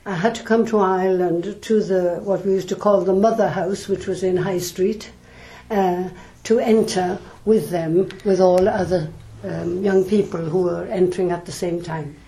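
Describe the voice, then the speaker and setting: An older woman from South Wales, a nun calmly answering interview questions with thoughtful pauses. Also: on the low side, low-quality recording. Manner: measured, thoughtful, with frequent pauses and ums.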